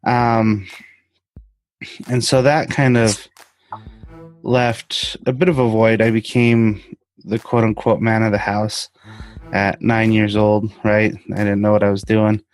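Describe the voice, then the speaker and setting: A man whose first language is Spanish, answering interview questions in a soft, hesitant way with many pauses. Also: lowish voice, level tone, faint music behind. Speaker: podcast guest.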